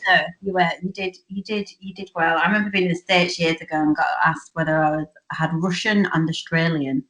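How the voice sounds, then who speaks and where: A woman's voice: lowish voice, steady tone, a little fast, a bit bright, roomy sound, rough recording. A young woman with a Hull accent, chatting casually as a podcast guest with lots of ums and restarts. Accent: Hull, northern English. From England. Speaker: podcast guest, online fitness business owner. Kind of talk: casual chat.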